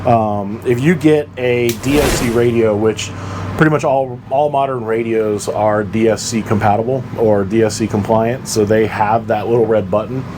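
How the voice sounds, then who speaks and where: A man's voice: lowish voice, steady tone, moderate pace, background noise. A man giving an informal, practical explainer in a plain-spoken way, as the host of a buyer's guide. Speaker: boating gear reviewer and host.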